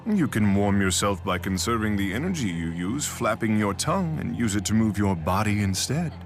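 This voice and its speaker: An actor playing a stoic, proud exiled king in a video game, speaking in a formal, measured, deadpan manner. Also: background noise. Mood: grave, dry. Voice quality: deep. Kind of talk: scripted game dialogue. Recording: game music behind the voice.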